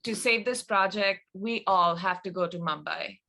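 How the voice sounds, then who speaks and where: Woman playing bright, confident persuader. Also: mid-range voice, moderate pace, creaky. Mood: persuasive. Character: confident, persuasive woman pitching a bold plan. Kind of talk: acting in a table read.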